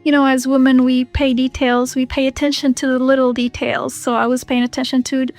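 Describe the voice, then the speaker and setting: A woman from Kuwait shares her story in an interview. Her voice is high, and her tone stays fairly level. She pauses often and fills gaps with hesitant fillers while soft music plays underneath. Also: flat delivery. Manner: hesitant, many fillers, searching for words. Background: background music.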